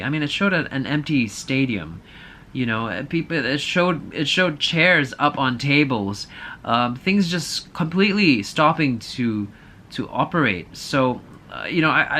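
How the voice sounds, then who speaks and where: A man's voice: medium pitch, bright, forceful tone, a little hiss or hum. A man giving a casual, opinionated movie review, thinking aloud in a hesitant, apologetic way.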